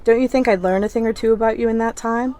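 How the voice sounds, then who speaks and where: A woman's voice: on the high side, fairly even intonation, quick pace, warm tone, natural voice. A woman playing a patient, mysterious femme fatale who is Death in disguise, in an old-time radio play. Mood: teasing.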